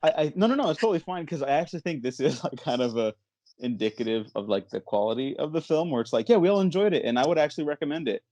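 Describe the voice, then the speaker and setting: A man's voice: on the high side, animated, fast, warm tone, dry recording. A man hosting a casual movie podcast, talking in a rambling, jokey way full of exaggeration. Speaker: podcast host.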